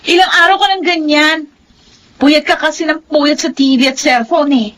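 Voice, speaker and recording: A sweet teenage schoolgirl in a Filipino radio drama. Her voice is very high and girlish, with a coy way of talking. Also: steady tone, normal speed, a bit bright. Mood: perky.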